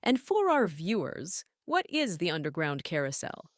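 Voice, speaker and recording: An actress playing a polished, emphatic news anchor delivering a mock broadcast in an audio drama. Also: female voice, lowish voice, wildly swooping pitch, normal speed, no room sound. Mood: urgent, professional.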